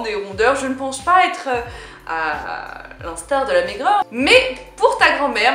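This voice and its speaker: A chatty, playful young woman speaks straight to her viewers in a high, bright voice. She keeps it casual and lively, and the sound rings in an echoey room. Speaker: vlogger. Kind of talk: casual talk to camera.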